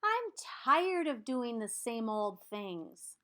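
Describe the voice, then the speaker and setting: Woman voicing a restless, wistful shape, animated pitch. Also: slow pace, heavily acted. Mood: grumbling, weary. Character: restless, dissatisfied talking geometric shape, wistful and eager. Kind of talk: children's storybook read-aloud.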